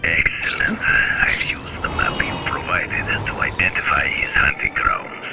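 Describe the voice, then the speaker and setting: An actor playing a sinister male hunter speaking over a radio in a low whisper. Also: steady tone, measured pace, far from the mic, loud music behind the voice.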